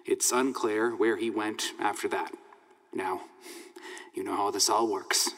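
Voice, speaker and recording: A man playing an authoritative small-town sheriff in an audio drama, making a firm, measured, folksy announcement. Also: lowish voice, fairly even intonation, fairly bright tone. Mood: stern, reassuring. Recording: reverberant large room.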